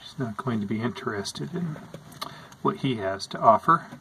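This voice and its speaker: A man calmly narrating over footage of his pet insect tanks, explaining what they do as he films. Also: medium pitch, gravelly, slight background noise, low-quality recording. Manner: slow, calm, explanatory. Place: indoors at an insect tank.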